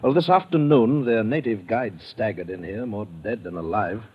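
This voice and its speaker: An actor playing a brisk British colonial police lieutenant with a stiff upper lip in a radio drama. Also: male voice, on the low side, husky. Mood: brisk briefing. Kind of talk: old-time radio drama acting. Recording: dull old radio recording.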